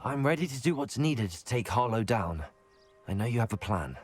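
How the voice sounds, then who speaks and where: An actor playing an earnest, courteous young student hero in a video game, speaking in a measured way. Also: male voice, mid-range voice, animated, soft background music, natural voice. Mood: determined.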